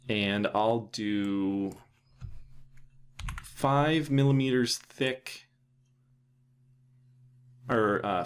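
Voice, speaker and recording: A man narrating an informal software tutorial, thinking aloud in a casual way with trailing pauses. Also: on the low side. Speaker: software developer presenting a tutorial.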